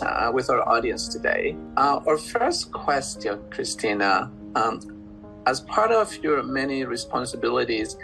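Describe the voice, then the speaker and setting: A man's voice: mid-range voice, gravelly, bright, forceful tone, poor audio. A man hosting a formal interview, introducing and questioning a guest. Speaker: interviewer, head of a health program.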